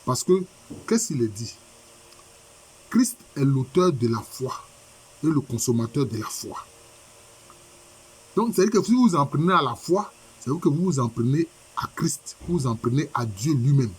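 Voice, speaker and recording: A husky-voiced man preaches with a head cold, and his voice sounds rough and a little stuffy. He hammers his points home by repeating them and asking rhetorical questions. His pitch sits at a middling level. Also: lively intonation, moderate pace, pauses often. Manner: repetitive, emphatic, rhetorical questions. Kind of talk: sermon by video conference.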